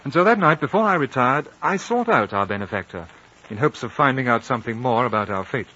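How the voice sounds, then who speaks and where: A composed, reasonable British gentleman, with a middle-pitched voice. He speaks with measured courtesy and a quietly probing air, on a dull old radio drama recording. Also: lively intonation. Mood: reflective. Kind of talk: 1950s radio drama acting and narration.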